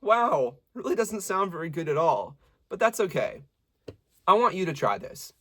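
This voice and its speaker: A male vocal coach with a medium-low speaking voice that still comes across bright and forward. His pitch swings widely as he explains singing technique. He often pauses to demonstrate a line before going back to teaching. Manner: lively, demonstrative teacher who breaks into sung examples.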